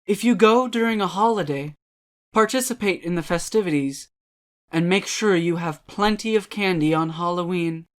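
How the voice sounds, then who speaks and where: A woman giving a scripted spooky dramatic reading, ominous and deliberate, with measured dramatic pauses. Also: lowish voice. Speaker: dramatic reader.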